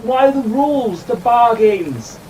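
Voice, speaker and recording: An actor playing a blunt, goading companion of a time traveller in a fan audio drama. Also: male voice, very high voice, lively intonation, slow, warm tone, heavily acted. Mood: challenging. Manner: goading, direct. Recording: distant mic, noisy ambience.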